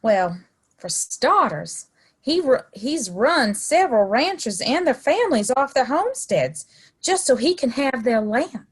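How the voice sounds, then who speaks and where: A woman playing a friendly, bold frontier townswoman in a western audio play, slightly husky and chatty. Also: sits high, very animated, unhurried, exaggerated voice. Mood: indignant.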